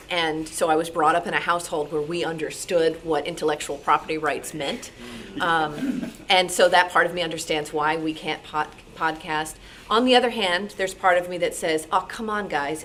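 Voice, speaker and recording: A woman with a fairly low voice speaks off the cuff in an animated, rambling manner, as in a question-and-answer session. There is light noise behind her, as at a live indoor meeting. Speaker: radio show programmer and presenter, guest speaker at a club meeting. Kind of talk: informal talk and question-and-answer. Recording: noisy live meeting room recording.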